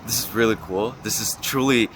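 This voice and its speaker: A man's voice: lowish voice, fairly quick, a bit bright, slight background noise, low-quality recording. A young man giving casual interview answers as a university student, thinking aloud and hedging as he goes. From Russia and the US. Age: young adult.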